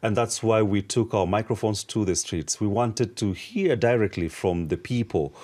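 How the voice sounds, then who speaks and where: A man's voice: on the low side, moderate pace, darkish tone. A man co-hosting a news programme, presenting and discussing stories in a conversational way. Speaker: news show co-host and reporter.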